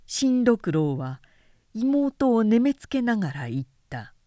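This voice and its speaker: Slow, expressive woman narrator, dry close sound. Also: on the high side, dry recording. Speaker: narrator reading a story aloud. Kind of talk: scripted literary narration of a period tale.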